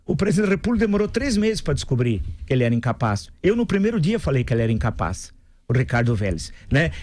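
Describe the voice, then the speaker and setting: A Brazilian man delivers pointed on-air political analysis in a slightly high voice. He talks emphatically, circling back to his points with insistent repetition. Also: darkish tone, dry recording. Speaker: political commentator. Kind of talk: opinionated on-air commentary.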